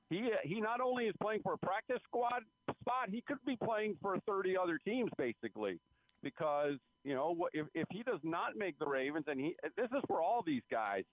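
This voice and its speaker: A man giving measured, explanatory sports analysis as a beat writer guest, over a tinny phone line. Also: on the high side, no room sound. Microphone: phone call.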